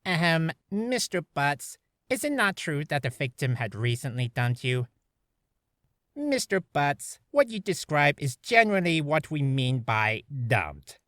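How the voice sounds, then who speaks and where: A woman's voice: deep voice, sing-song, unhurried, fairly bright tone, no echo, studio quality, full character voice. A woman voicing a stern, formal courtroom prosecutor from a video game, with pointed delivery. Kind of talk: video game character voice acting.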